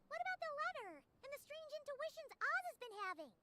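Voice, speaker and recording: Squeaky, extremely high fairy companion. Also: female voice, big pitch swings, fairly quick, noisy background. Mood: puzzled, questioning. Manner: inquisitive, childlike. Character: tiny, squeaky, curious female fairy companion. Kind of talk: scripted game dialogue.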